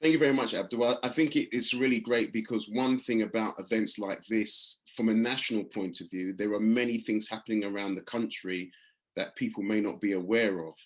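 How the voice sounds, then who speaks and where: A man hosting an online meeting, responding to a speaker in an appreciative, measured way. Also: on the low side, fairly even intonation. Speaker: meeting host. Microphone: video call.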